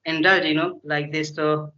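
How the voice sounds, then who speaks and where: A man's voice: on the high side, level tone, moderate pace, bright, forceful tone. Man, Indian English accent, native Hindi speaker, hesitant with fillers, muffled lo-fi audio. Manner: hesitant, many fillers, laughs often. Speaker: English learner, conversation partner. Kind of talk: casual conversation practice.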